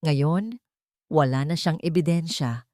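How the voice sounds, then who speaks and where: A woman's voice: low-pitched, slow pace, darkish tone, dry recording, clean studio sound. A woman narrating a scripted romantic drama in a solemn, dramatic storytelling manner.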